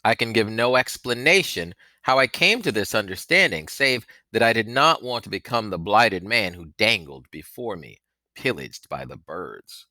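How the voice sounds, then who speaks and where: Guy with a really low voice reading an audiobook, theatrical and punchy, dry recording no room sound. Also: normal speed, no echo. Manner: theatrical, expressive delivery. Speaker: audiobook reader. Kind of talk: dramatic storybook narration.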